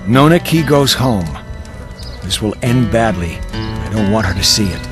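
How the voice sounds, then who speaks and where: Grim adventurer, lowish pitch, terse, resolute, game cutscene drama, music behind. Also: male voice, lively intonation, a little slow. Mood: grim resolve. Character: grim, determined male frontier adventurer. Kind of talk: video game cutscene acting.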